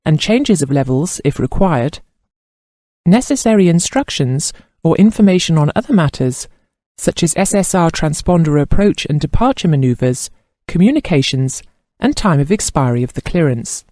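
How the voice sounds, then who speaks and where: A man reading scripted instructional narration in a formal, measured manner, like a course instructor. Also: fairly high pitch, very animated, mellow, no echo, clean studio sound.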